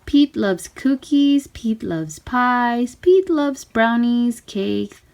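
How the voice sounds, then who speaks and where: A woman reading a children's storybook aloud in simple, deliberate phrases. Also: a little high, lively intonation, vocal fry, darkish tone.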